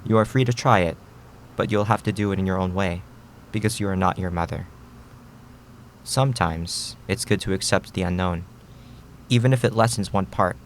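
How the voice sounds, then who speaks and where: A man playing a gentle, reflective middle-aged Japanese immigrant father in an audio drama, speaking in a measured, reassuring way. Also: low voice, a little hiss or hum, lightly acted.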